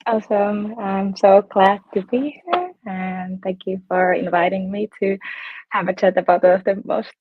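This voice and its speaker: A woman's voice: medium pitch, fairly even intonation, moderate pace, breathy edge, dry recording, narrow, tinny audio. A woman in her late twenties from Finland with a Finnish accent, chatting casually as a guest fitness coach in a bubbly way with plenty of ums. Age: 20s. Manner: bubbly, frequent ums and laughs. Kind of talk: casual interview chat.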